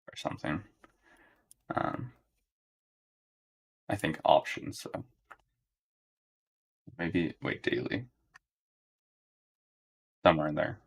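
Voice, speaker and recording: A young man giving live trading commentary to his chat in a relaxed, monotone way, thinking aloud and often checking in with a "right?". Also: gravelly. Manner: monotone, relaxed, low-key. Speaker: day trader streaming live.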